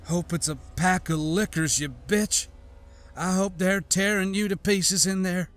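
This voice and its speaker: A man's voice: steady tone, unhurried, fairly bright tone, soft background music. A man reading an audiobook, voicing an injured, grief-stricken female scientist and mother who pleads and wails in pain. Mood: spiteful. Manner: pained, pleading, turns frantic and shrill. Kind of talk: audiobook character voice.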